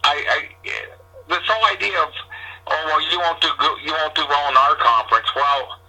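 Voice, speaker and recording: An older male guest talks sports with strong opinions in a somewhat high, very forceful, husky voice. He stammers into his points, restarting phrases as he argues. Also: low-quality recording. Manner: halting, repetitive starts, mimics opponents' arguments. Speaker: guest, retired sports publicist.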